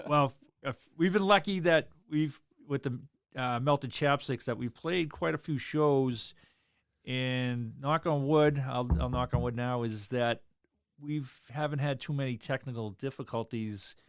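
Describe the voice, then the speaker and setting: A man's voice: mid-range voice, measured pace, smooth, no echo. A man, a drummer talking loosely in an interview, rambling with lots of fillers. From South Boston, USA. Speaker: drummer and guest. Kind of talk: casual interview chat.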